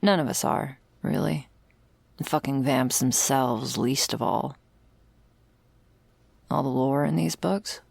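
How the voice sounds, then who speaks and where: A woman playing a jaded, sardonic servant of vampires in an intimate ASMR roleplay, husky and deadpan, close to the microphone. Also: rumbling low, slow, pauses often, dry recording, exaggerated voice. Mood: weary, explaining. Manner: dry, deadpan, sarcastic, foul-mouthed. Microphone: close, dry microphone.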